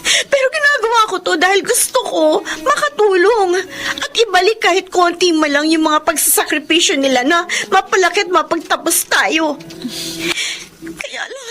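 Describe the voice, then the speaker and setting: Woman acting a high-pitched, exasperated breadwinner daughter. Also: lively intonation, moderate pace, fairly bright tone. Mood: hurt, tearful. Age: young adult. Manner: emotional, reproachful, pleading. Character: fed-up breadwinner eldest daughter, resentful but dutiful. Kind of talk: Filipino melodrama acting. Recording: music behind the voice.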